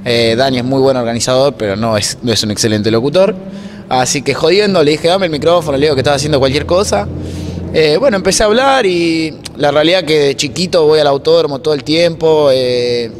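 Young Argentine man over clear background noise. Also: a little high, a little fast. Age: 20s. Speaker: interview guest, racing announcer and workshop mechanic. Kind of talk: casual spoken interview. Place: indoor block-walled room at a racetrack. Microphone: handheld interview microphone held toward him. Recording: noisy room, clear ambient background noise.

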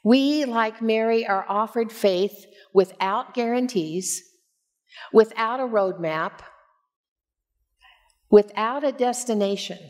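A woman in her sixties preaching as a pastor, with measured, expressive storytelling, on a headset mic in a church. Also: a little high. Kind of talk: sermon with personal storytelling. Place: church sanctuary, at a pulpit.

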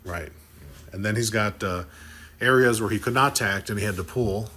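A middle-aged man hosts a podcast from an office meeting room, speaking into a desk microphone. His voice sits a little low. He talks in an upbeat way and jokes with his guest. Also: moderate pace, bright, forceful tone, breathy edge. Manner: upbeat, joking, teasing. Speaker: podcast host. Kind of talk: lively on-site podcast chat. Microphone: desk podcast mic.

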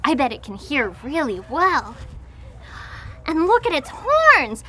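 A woman's voice: high-pitched, sing-song, slow pace, sharp tone, a little hiss or hum. A young woman presenting an educational children's show outdoors at a farm, in an exaggerated, sing-song, exclamatory way. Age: young adult. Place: outdoors at a farm with animal pens.

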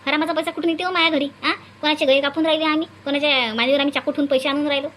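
A woman's voice: high-pitched, steady tone, very fast, fairly bright tone, slight background noise. A woman voicing an outspoken, bossy farmer's wife in a cartoon, with a Varhadi Marathi accent. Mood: offended. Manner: bossy, argumentative.

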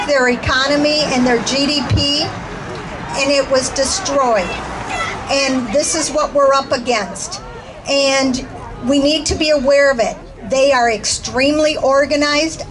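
A woman from Cuba giving an earnest, urgent political speech at an outdoor rally through a handheld microphone. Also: sits high. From born in Cuba, moved to the US as a young child. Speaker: rally speaker, retired public school teacher. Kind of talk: impassioned political stump speech. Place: outdoors under a canopy by a roadside. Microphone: handheld microphone through PA speaker. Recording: noisy outdoor PA with traffic.

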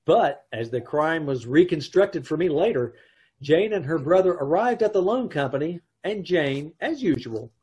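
A man performs a wry married storyteller from an old-time radio comedy. His voice sits a little high with a husky edge. He delivers his wife's antics deadpan. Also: moderate pace, highs cut off. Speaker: narrator and husband in a radio comedy. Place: home room, on a video call. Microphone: video call.

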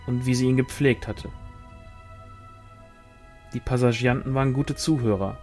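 Male audiobook reader, mid-pitched voice, narrating fantasy fiction with music underneath. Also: darkish tone. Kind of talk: scripted narration of a fantasy novel.

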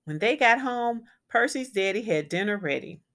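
A woman reading a children's picture book aloud as a storyteller, with deliberate, emphatic phrasing for young listeners. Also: medium pitch, lively intonation, a bit bright. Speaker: read-aloud storyteller and educator.

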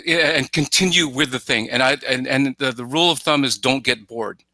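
A middle-aged man giving conversational commentary on a podcast, halting and repeating phrases, acting out imagined reactions as he goes. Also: medium pitch, pushed and bright, no room sound. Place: indoor room, video call.

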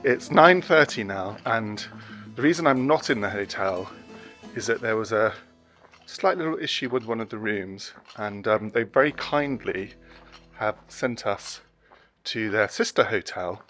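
A man's voice: on the low side, animated, measured pace, a bit bright, soft background music. A man in his forties chatting casually to the camera as a travel vlogger, walking outdoors with a handheld device. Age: 40s. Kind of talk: casual vlog commentary to camera. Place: walking outdoors in narrow night streets. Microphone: phone or camera at arm's length.

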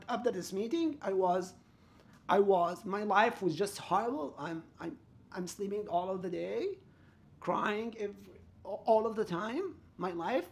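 A man giving an aggrieved, repetitive commentary on his own dispute, addressing viewers directly. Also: high voice. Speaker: student telling his own complaint. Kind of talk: personal commentary over recordings.

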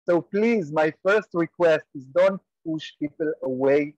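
Brief: Israeli man, 40s, guest professor, earnest and measured, slightly high voice, dry close sound. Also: a little mellow, no echo, highs cut off. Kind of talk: webinar interview answer. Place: home room on a video call, virtual background. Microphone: earphone mic on a video call.

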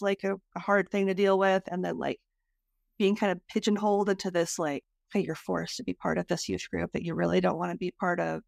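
Creaky-voiced woman hosting a podcast. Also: medium pitch, no room sound, studio quality. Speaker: podcast host, interviewer. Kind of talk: casual interview chat.

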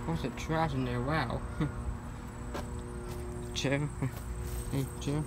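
A man's voice: medium pitch, fairly even intonation, very slow. A man casually narrating a walk around an empty restaurant, talking offhandedly to his phone outdoors. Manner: offhand, mumbling, quietly amused. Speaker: urban explorer vlogger. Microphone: handheld phone. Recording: loud outdoor phone recording. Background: traffic and insects.

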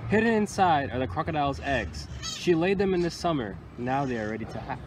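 Young man, slightly high voice, animated intonation, children's story reading, expressive phrasing, outdoor recording. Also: brisk. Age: young adult. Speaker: county environmental employee reading to children. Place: outdoors under a tree by water. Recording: outdoor recording with loud ambient noise.

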